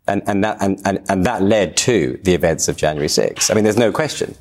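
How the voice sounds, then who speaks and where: A man's voice: sits low, a little mellow. An English man, a documentary filmmaker, earnestly recounting his experiences in a TV interview, sometimes repeating words as he goes. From England. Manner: earnest, storytelling, with some stumbling repeats. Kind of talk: TV interview answers.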